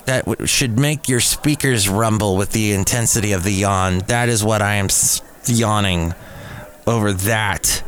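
A man's voice: lowish voice, measured pace, a bit bright. A man hosting a solo podcast, delivering entertainment news commentary in a wry, opinionated way. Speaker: podcast host. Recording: clear background noise and ambience.

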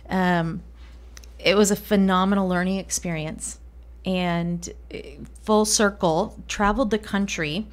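A woman who grew up in Colombia, a podcast guest telling her career story reflectively, with many pauses. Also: fairly low pitch. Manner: reflective, with frequent fillers and restarts. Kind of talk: casual interview.

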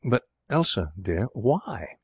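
A man voicing a gentle, indulgent mother in a storybook, mildly reproving and easily shocked. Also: medium pitch, very animated, takes it slowly, husky, dry recording, exaggerated voice.

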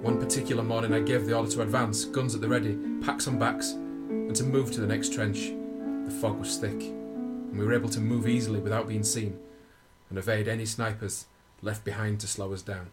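A man acting in a radio drama as a dutiful, war-weary army officer, measured and thoughtful. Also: fairly low pitch, flat delivery, lightly acted. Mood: reflective, sombre. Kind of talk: radio drama narration and orders. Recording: loud guitar music under voice. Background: plucked guitar music.

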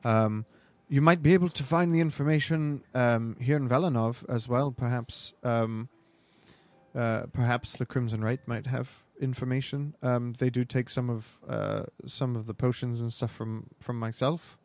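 A man playing a hesitant, apologetic, helpful apothecary shopkeeper in improvised tabletop roleplay, speaking haltingly and politely. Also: mid-range voice, steady tone, a little mellow, natural voice.

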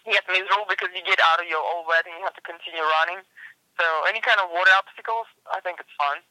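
A man's voice: high-pitched, fairly even intonation, sharp tone, no echo, rough recording. A man from Siberia with a Russian accent, talking casually as an interview guest about obstacle racing, laughing often and searching for words. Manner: giggly, laughs often, halting phrasing.

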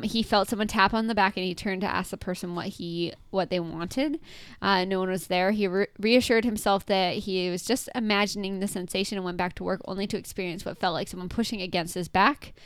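A woman's voice: mid-range voice, fairly even intonation, moderate pace, fairly bright tone, clean tone, dry recording. A woman co-hosting a casual podcast, recounting ghost stories from her notes with frequent ums and small laughs. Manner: tells stories from notes with many ums and giggles. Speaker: podcast co-host. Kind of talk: casual chat, reading up on a haunting.

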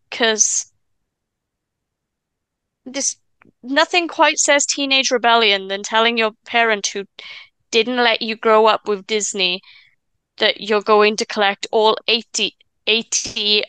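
Bright Welsh woman with a stutter. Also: fairly high pitch, clean tone, dry recording. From Wales. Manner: stammers and restarts, stutter; chatty, quick asides and laughs. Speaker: podcast guest. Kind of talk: casual chat.

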